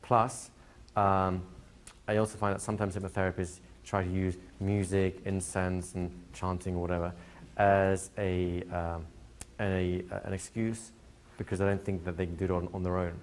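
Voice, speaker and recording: Low-voiced man teaching hypnotherapy. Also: little pitch movement, clear, resonant tone. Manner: explanatory, drops into slow soothing hypnotic patter for demos. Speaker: hypnosis trainer. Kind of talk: live teaching to a class. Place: training room with a live audience.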